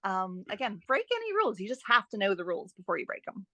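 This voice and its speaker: A woman's voice: mid-range voice, big pitch swings, quick pace, bright, forceful tone, no room sound, dull audio. A woman with a mid-range voice talks as a guest expert in an interview. She explains her advice conversationally, stressing points and posing rhetorical questions. The sound is close and dry. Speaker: guest editing expert and author.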